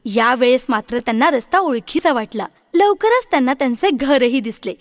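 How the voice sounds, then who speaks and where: Woman, children's story narrator, high voice, scripted delivery, very dry recording. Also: lively intonation, moderate pace, dry recording.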